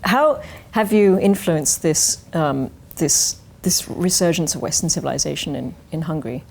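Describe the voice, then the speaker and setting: A woman's voice: low voice, moderate pace, creaky. An Australian woman in her forties co-hosting a studio interview, restarting her phrases as she builds a question, on a lapel mic. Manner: repetitive restarts, animated. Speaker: think tank program director, co-host.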